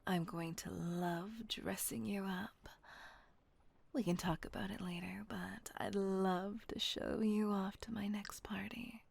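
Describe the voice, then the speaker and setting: A woman voicing a kind, doting female vampire mistress in an audio roleplay, speaking in a soft, coaxing whisper close to the mic. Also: medium pitch, fairly even intonation, slow pace, gravelly, husky, exaggerated voice.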